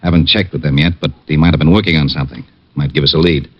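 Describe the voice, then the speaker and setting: A gruff, deep-voiced police lieutenant. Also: male voice, very fast. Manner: brusque, businesslike questioning. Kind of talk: 1950s radio drama acting. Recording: dull lo-fi old radio recording.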